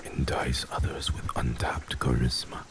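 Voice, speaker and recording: A man playing a heartless, commanding vampire mafia boss in an ASMR audio drama, gravelly and speaking in a formal, grave, measured manner. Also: very deep voice, flat delivery. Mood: dark incantation.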